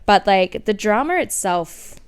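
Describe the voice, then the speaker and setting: Young woman, Australian accent, smooth clear voice, medium pitch, candid casual podcast chat. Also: animated, measured pace. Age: 20s. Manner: candid, informal, liberal filler "like" and swearing. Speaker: podcast host. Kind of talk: casual chatty solo podcast storytelling.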